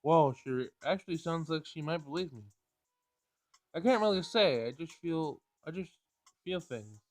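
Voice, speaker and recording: A man's voice: on the high side, lively intonation, moderate pace, no room sound. A man hosting a gameplay recording, reading a visual novel aloud and adding casual commentary of his own. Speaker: gameplay host. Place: home screen recording. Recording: music behind the voice.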